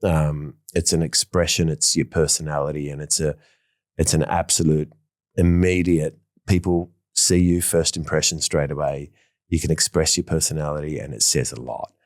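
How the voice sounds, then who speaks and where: Very deep-voiced man, rambling. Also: level tone, moderate pace, no echo, clean studio sound. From Australia. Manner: enthusiastic, rambling, many fillers and restarts. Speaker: fashion designer, interview guest. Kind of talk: casual interview conversation.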